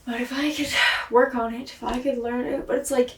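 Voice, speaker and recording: A woman's voice: sits high, fairly even intonation, fairly quick, darkish tone, a little hiss or hum. A woman recording a rambling, introspective video diary, swearing and sighing often, with a voice she says is tired and her throat sore. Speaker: vlogger and singer. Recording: echoey room, far from mic.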